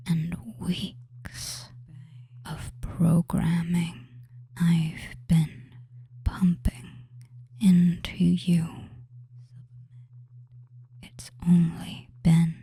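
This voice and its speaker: A person whispering a soothing hypnosis roleplay in ASMR style as a doting, controlling fantasy witch, with drawn-out phrases and pauses. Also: mid-range voice, dark tone. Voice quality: breathy, mostly unvoiced whisper.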